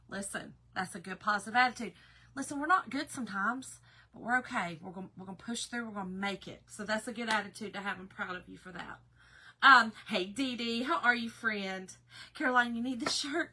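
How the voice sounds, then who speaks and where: A middle-aged woman with a Southern Georgia accent, a little hoarse, hosting a craft livestream in a folksy, chatty way. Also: on the high side, bright, forceful tone. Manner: folksy and chatty, calling viewers "girl" and "y'all". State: slightly hoarse. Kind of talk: casual live-stream chat greeting viewers.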